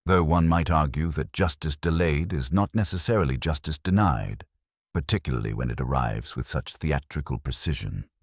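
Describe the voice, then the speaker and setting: A man voicing a shrewd, courteous police inspector in an audiobook mystery, conversational, gentle, formal and unhurried. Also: rumbling low, lively intonation, gravelly, husky, no room sound, studio quality, heavily acted. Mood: gently authoritative. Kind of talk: audiobook mystery character reading.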